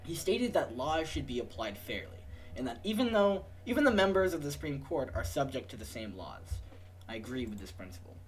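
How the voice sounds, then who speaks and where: A man narrates a game for his friends over faint music. His pitch is lively and varied, and his delivery is informal, moving between read-out story text and casual remarks. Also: fairly quick, poor audio. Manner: informal, swearing, joking asides. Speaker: host reading the game aloud. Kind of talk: casual group game session, reading narration aloud.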